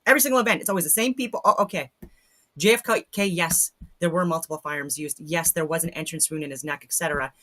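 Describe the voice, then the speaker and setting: A woman's voice: low-pitched, fast talker, pushed and bright. A woman hosting a casual live reaction stream, blunt and skeptical, repeating phrases for emphasis.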